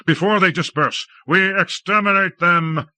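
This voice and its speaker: A man playing an imperious, hot-tempered lord who barks orders loudly. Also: fairly high pitch, lively intonation, a little fast, no echo, voice pushed up, exaggerated voice. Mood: furious. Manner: barking, shouting orders. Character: imperious, hot-tempered male lord commanding a war machine.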